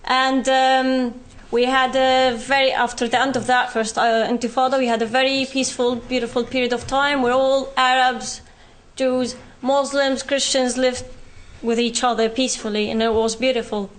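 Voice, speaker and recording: High-voiced woman with a Palestinian British accent. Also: little pitch movement. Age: young adult. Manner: earnest, pausing often with fillers. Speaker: guest speaker. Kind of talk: university talk from personal experience.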